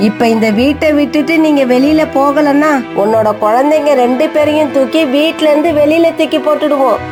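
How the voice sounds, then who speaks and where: A woman's voice: high-pitched, brisk, over music, close to an everyday voice. A woman voicing a greedy, scheming female crow in a cartoon, wheedling and pushy. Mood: threatening. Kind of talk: animated cartoon voice acting.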